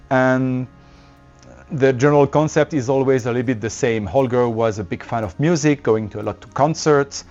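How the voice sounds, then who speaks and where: A man with a French accent, a company representative explaining his products in a trade show interview, with many fillers and pauses. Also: mid-range voice, a little mellow, dull audio.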